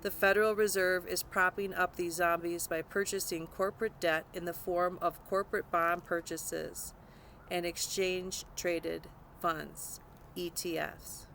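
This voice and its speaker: A woman's voice: lowish voice, flat delivery, measured pace, gravelly, fairly bright tone, a little hiss or hum. A woman narrating a scripted nonfiction audiobook, reading aloud.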